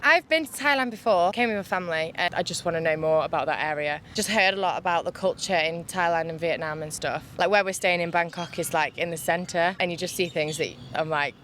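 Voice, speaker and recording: A woman's voice: fairly low pitch, a little fast, hardly any pauses, a bit bright, a little hiss or hum. A young woman from England with an English accent, chatting animatedly on a city street.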